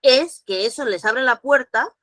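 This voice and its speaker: A woman, speaking as guest at a virtual conference, animated and full of restarts. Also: high voice, unhurried, no echo, studio quality. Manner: animated, acts out quoted dialogue, frequent restarts. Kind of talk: virtual conference talk, answering questions. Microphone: video call.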